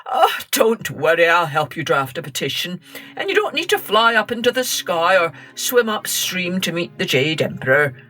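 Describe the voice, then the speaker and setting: A woman's voice: lowish voice, big pitch swings, moderate pace, sharp tone, heavily acted. A woman voicing a helpful, chatty rooster in a children's story, eager and bustling. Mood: urging.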